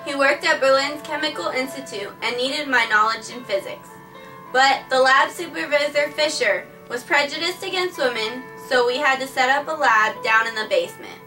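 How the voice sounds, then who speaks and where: A woman's voice: sits high, normal speed, bright, forceful tone. A woman narrating a scripted first-person history story, reading it plainly and earnestly. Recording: distant mic with faint background music.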